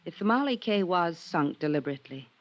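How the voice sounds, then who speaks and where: A woman's voice: on the low side, animated, brisk, lightly acted. An actress playing a poised, guarded young American woman, a captain's loyal daughter, in a 1950s radio drama. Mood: hesitant, coaxing. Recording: dull vintage radio recording.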